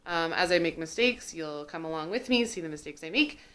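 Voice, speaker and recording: A young woman talks casually to camera in a cooking vlog, slipping in plenty of ums and small laughs. She is getting over a cold and sounds a little sniffly. Her voice sits somewhat low for a woman. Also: animated, a little fast, a bit bright. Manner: chatty, with many fillers and laughs. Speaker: cooking vlogger.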